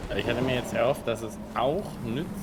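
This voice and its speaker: A man's voice: medium pitch, fast talker. A man giving live let's play commentary as a game streamer, in a dry, musing way. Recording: loud game noise behind. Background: video game action sounds.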